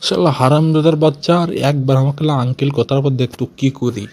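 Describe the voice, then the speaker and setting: A man's voice: mid-range voice, fast, background noise, natural voice. A man voicing an angry, blustering village father with a Bogura accent in a comic amateur cartoon dub. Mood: outraged, interrogating. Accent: Bogura, northern Bangladesh. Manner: scolding, blustering, full of threats. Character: angry, threatening village father scolding wife and son.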